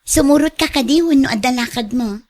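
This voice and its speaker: A woman's voice: high-pitched, a little fast, a little mellow. An actress playing a chummy young female friend in an Ilocano radio drama. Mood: chummy, coaxing.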